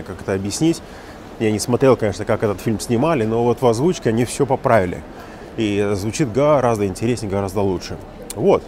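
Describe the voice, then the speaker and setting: Guy in his 40s ranting on a phone walk at night, emphatic and mocking, mid-pitched voice, faint traffic behind. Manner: animated, emphatic, mocking rant. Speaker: interpreter and English teacher. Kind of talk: casual walking vlog commentary. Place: city street at night, outdoors. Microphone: phone at arm's length with wireless earbuds.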